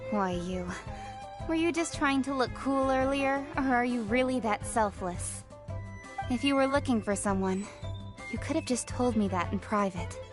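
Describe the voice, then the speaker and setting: A woman's voice: on the high side, expressive, normal speed, over music, natural voice. A woman playing a proud young government official in a video game, direct, assertive and businesslike. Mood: questioning.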